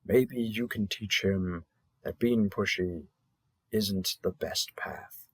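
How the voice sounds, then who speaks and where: A man acts out a cheerful matchmaking host for a monster dating app in an audio roleplay. His voice is fairly low and husky. He takes his time, stretching key words and pausing theatrically as he presents each match. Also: no room sound, full character voice, poor audio. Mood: wry, diplomatic. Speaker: matchmaking announcer. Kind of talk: scripted roleplay audio narration.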